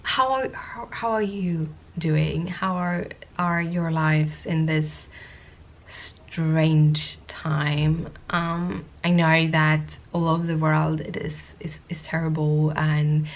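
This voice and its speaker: A woman vlogger with a sniffly cold, chatting to the camera in a soft-spoken, rambling, hesitant way. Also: low-pitched, flat delivery, slow. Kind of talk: casual talking-to-camera vlog.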